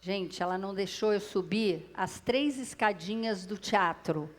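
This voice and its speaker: A Brazilian woman speaks on stage through a clip-on mic, in a Brazilian Portuguese accent. She tells her story to a live audience and often turns to them directly. Her voice sits at a middle pitch for a woman. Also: a little slow. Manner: lively storyteller who addresses the audience directly. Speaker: speaker at a public talk. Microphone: clip-on microphone.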